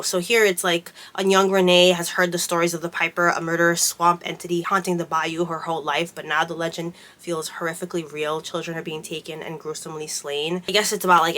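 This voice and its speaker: A woman's voice: lowish voice, pushed and bright. A woman getting over a cold, sounding congested, chatting casually about her books with lots of fillers. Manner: chatty, rambling with frequent fillers. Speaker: book vlogger. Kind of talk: casual chat.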